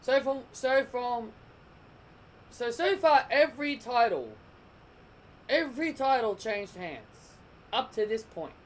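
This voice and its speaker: A man giving a casual, rambling wrestling review, often restarting his sentences, his voice croaking. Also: very high-pitched, expressive, slow, long pauses, slight background noise. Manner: rambling, restarts and corrects himself.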